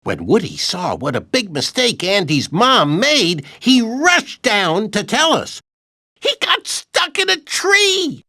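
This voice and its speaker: A man's voice: high-pitched, huge pitch swings, slow, bright, forceful tone, dry recording, clean studio sound, full character voice. A man voicing an anxious, childlike toy dinosaur in a video game, earnestly retelling adventures with dramatic emphasis. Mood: earnest recounting. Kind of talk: animated video game storytelling narration.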